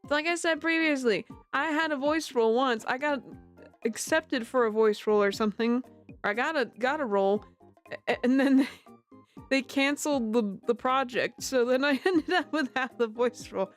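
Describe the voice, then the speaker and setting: A woman's voice: high-pitched, normal speed. A woman chatting casually on a game livestream, giggly, rambling and self-deprecating, speaking close to the microphone. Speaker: livestreamer, amateur voice actor. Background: music.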